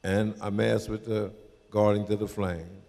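Low-voiced man in his eighties. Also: level tone, unhurried, vocal fry, darkish tone. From New Orleans, Louisiana. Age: 80s. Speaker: panel guest, Mardi Gras Indian chief. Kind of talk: telling a personal story to an audience. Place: indoor community hall. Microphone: handheld mic. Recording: long echo in a hall.

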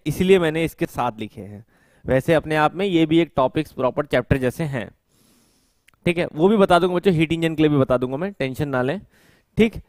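A man in his thirties teaches at a studio whiteboard, speaking into a headset mic. His voice sits a bit high for a man, and the sound is close and dry with no room echo. He explains patiently and drags out technical terms while he writes. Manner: explains step by step, repeats key terms slowly while writing; mixes English technical terms into Hindi speech. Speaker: exam coaching teacher. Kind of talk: whiteboard lecture.

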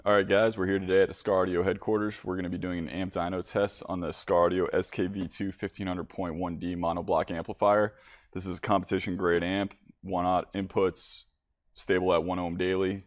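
Male presenter, low-pitched, informal demo narration, speaks straight to the audience. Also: flat delivery, a little fast, no echo, studio quality. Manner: informal, addressing viewers directly. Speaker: product test presenter. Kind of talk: casual product demo narration.

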